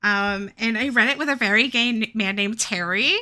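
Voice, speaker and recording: A woman's voice: fairly high pitch, normal speed, pushed and bright. A young woman with a Southern US accent, chatting casually and rambling on a live stream, swearing and laughing often. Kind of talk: casual chat while drawing on a live stream.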